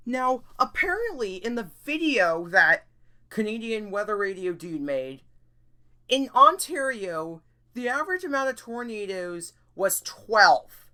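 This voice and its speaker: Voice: medium pitch, bright, forceful tone. An earnest, emphatic YouTuber giving semi-scripted commentary on an issue. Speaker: YouTuber presenting an issue video.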